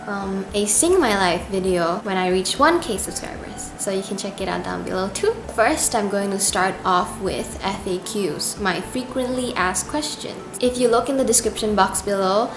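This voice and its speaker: A woman's voice: mid-range voice, normal speed, hardly any pauses. Young woman from Indonesia chatting earnestly. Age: 20s. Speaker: YouTube cover singer. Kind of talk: casual Q&A vlog. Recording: echoey room with noise.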